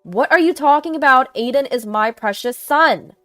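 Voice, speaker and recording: A woman voicing a possessive, indignant young mother who shouts defiantly in a narrated story. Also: sits high, expressive, normal speed, no room sound, soft background music, voice pushed up. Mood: frantic.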